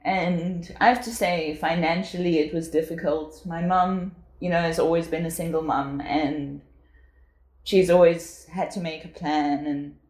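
A South African woman in her forties speaks in a low voice. She talks reflectively, pausing on fillers, and the sound rings around an echoey room. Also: steady tone, a little mellow. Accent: South African English. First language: English. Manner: reflective, with frequent fillers and laughs. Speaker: guest. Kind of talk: casual conversational interview.

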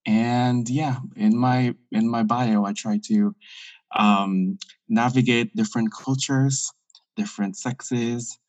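A man's voice: medium pitch, fairly even intonation, slow pace, no echo. Playful man from the Philippines, muffled lo-fi audio. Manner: witty, playful, laughs easily. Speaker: podcast guest. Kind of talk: casual podcast chat.

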